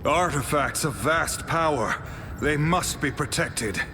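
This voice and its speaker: An actor playing a solemn sorcerer in a video game, with formal, dramatic, measured delivery. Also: male voice, a little high, expressive, noisy background. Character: solemn, grave male sorcerer protecting mystic relics. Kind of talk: video game character voice lines.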